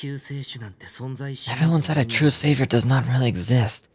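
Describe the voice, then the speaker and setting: A man's voice: mid-range voice, measured pace, close to an everyday voice. A man voicing an earnest, brooding young man in a video game. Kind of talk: scripted video game voice acting. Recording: game audio with background music.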